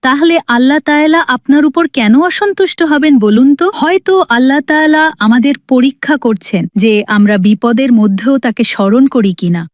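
A woman's voice: high voice, a little fast, hardly any pauses, no room sound, studio quality, lightly acted. A woman playing a patient, devout wife and mother in a cartoon, speaking earnestly and reassuringly. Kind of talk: cartoon voice acting.

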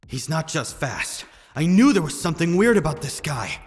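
A man acting a cocky young male sorcerer in an amateur manga dub, emphatic and taunting, quick to size up his foe. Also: fairly high pitch, sing-song, big-room sound, soft background music. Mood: focused.

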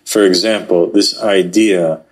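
A man talking through religious texts in a relaxed podcast conversation, thoughtful and hesitant, with frequent fillers. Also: low voice, unhurried. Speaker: podcast co-host. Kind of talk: casual religious discussion.

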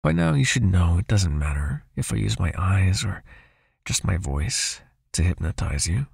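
A man acts out a seductive male vampire master for an ASMR hypnosis roleplay. His low voice is husky and crackles with fry, close and dry. He murmurs slowly, possessive and condescendingly tender, giving soft commands. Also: very animated, no room sound, exaggerated voice. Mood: possessive, hypnotic. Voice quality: breathy, gravelly, murmuring. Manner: slow, coaxing, intimate, softly commanding.

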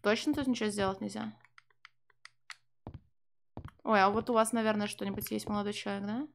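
A woman's voice: mid-range voice, fairly even intonation, very fast, vocal fry, slight background noise. A young woman streaming a game from a small room at home, chatting to viewers, swearing freely and translating the game's lines on the fly. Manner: profane, sardonic, chatting to viewers. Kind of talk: casual live game commentary with translation.

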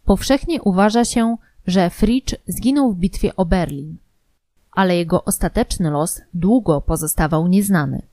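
A female narrator with a fairly low, mellow voice reads a documentary script. Her delivery is formal and measured, and the sound is close and dry, free of room echo.

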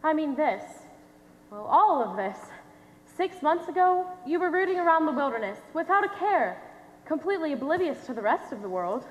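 In an echoey theatre, a young woman plays an earnest, protective doctor. Her high, projected voice is lively and quick to show exasperation. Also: lo-fi sound. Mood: worried, protective. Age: young adult. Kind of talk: high school stage acting. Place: theatre stage, recorded from the audience.

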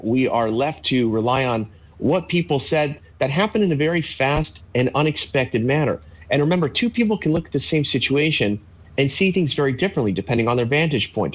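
A husky-voiced man in his thirties gives legal commentary over a video call. He explains things in a measured, steady way, with a few fillers along the way. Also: mid-range voice, dull audio. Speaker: legal analyst. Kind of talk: live news interview commentary. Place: home office with bookshelves.

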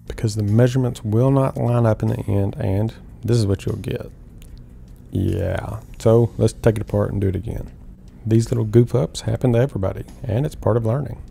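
A middle-aged man calmly explaining woodworking steps one at a time in an instructional voice-over. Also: on the low side, vocal fry. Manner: calm, step-by-step explaining. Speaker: woodworking host.

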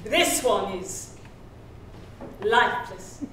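A middle-aged woman acting a defiant, scornful woman in a dramatic stage reading, deliberate and cutting. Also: medium pitch, animated. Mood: mocking. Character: defiant, scornful woman confronting her aunt's killer. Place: large theater stage, indoors. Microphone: far from the speaker on stage. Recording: echoey hall, distant mic, faint noise.

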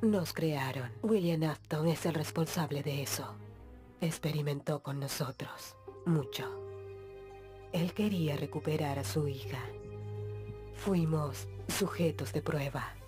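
A woman voicing a composed, stern animatronic leader, measured and formal, in a Latin American animated fan dub. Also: low voice. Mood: grave. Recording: music behind the voice.

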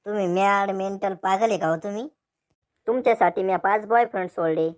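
A woman's voice: mid-range voice, brisk, close to an everyday voice. A woman voicing an indignant, scolding young bride in a cartoon comedy. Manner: scolding, blunt. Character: sharp-tongued, indignant young village bride.

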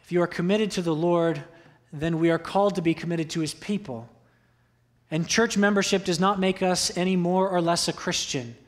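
Earnest young man preaching, slightly high. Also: moderate pace. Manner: earnest, exhorting, repeats key phrases. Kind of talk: sermon. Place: church sanctuary at a pulpit. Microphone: pulpit microphone.

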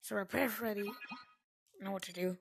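Gravelly-voiced woman losing her voice. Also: medium pitch, fairly even intonation, moderate pace. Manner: chatty, reacts out loud while playing. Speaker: gaming video host. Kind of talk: casual gameplay commentary.

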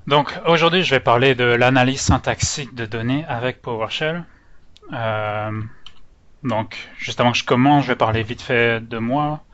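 Bright-voiced Frenchman with mixed Canadian intonation. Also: medium pitch, normal speed. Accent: French with Canadian-influenced intonation. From France. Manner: hesitant, nervous speaker. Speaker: user group organizer, presenter. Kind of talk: informal technical presentation. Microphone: online meeting microphone. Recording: dull, lo-fi online call audio.

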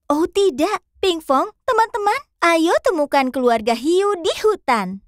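A woman's voice: extremely high pitch, lively intonation, measured pace, no room sound, studio quality. A woman narrating a children's cartoon in a sing-song manner, speaking to young viewers.

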